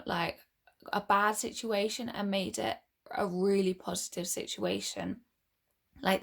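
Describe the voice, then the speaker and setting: A woman's voice: mid-range voice, gravelly. A woman hosting an unscripted tarot card reading, talking to viewers in a conversational, reassuring way and often rephrasing herself. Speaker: tarot card reader and video host.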